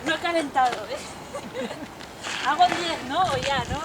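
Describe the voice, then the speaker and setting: A woman's voice: sits high, takes it slowly. A woman from the host's chair of an online special, chatting casually in a Spain accent, informal, bossy and teasing. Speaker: host of an online video special. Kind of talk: casual joking presenting to camera. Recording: very noisy outdoor recording with crowd.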